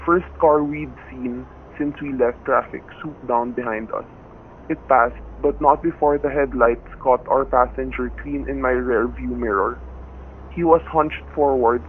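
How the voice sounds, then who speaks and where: A blunt, plain-spoken family man played by an actor in a radio thriller, with a mid-pitched voice. It comes through a narrow, faintly noisy radio band. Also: lightly acted. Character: plain-spoken, unruffled husband and father, driving. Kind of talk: radio suspense acting. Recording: thin, band-limited radio sound, faint noise.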